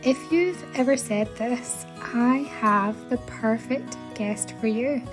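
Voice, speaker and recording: A woman's voice: a little high, takes it slowly, over music, poor audio. A woman hosting a podcast, chatting enthusiastically and laughing often with her guest. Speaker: podcast host. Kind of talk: warm podcast interview.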